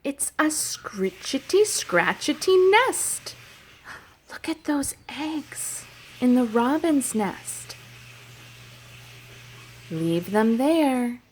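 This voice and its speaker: A woman childcare educator telling stories and playing with toddlers in a sing-song, animated, child-directed way, using simple phrases. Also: high-pitched, drawn-out words, long pauses, slight background noise. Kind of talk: sing-song picture book storytelling and play for toddlers.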